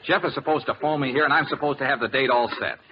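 An actor playing a flustered, cajoling, fast-talking private investigator in old radio comedy style, stammering and exasperated. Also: male voice, medium pitch, close to an everyday voice. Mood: anxious, wheedling. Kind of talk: 1940s radio comedy acting. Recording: muffled, noisy old radio recording.

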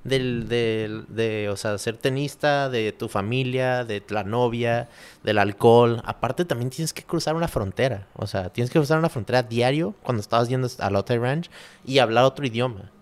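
A man hosting a podcast, speaking with a northern Mexican Spanish accent and a relaxed, slang-heavy manner. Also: mid-range voice, moderate pace, clean tone. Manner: slangy, relaxed, lots of "güey" and "pues". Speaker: podcast host. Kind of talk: casual podcast conversation.

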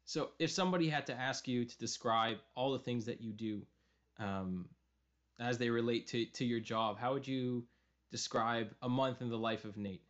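A man's voice: medium pitch, fairly even intonation, moderate pace, fairly bright tone. A man hosting a podcast, asking questions in a casual interview style. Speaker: podcast host. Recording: slightly dull, lo-fi audio.

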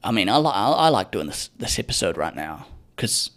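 A man's voice: fairly low pitch, sing-song, brisk, a bit bright. A young man hosting his own podcast, talking casually in a profane, rambling, sardonic way into a microphone. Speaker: podcast host. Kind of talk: casual solo podcast rant.

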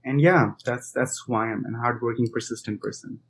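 A man's voice: medium pitch, fairly even intonation, brisk, slight background noise. Interview guest, man from India, 30s, thoughtful, restarts often. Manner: hesitant, many restarts and fillers. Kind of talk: conversational interview answers.

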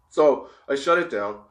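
A man's voice: mid-range voice, a bit bright. Profane, rambling stoner man in echoey room. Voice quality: profane, laughs often. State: high on cannabis. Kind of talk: rambling casual monologue.

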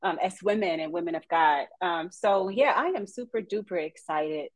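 A woman from East Texas, chatting on a podcast as a guest counselor and telling her story with faith-filled warmth. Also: fairly low pitch, moderate pace, dry recording. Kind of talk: casual podcast chat.

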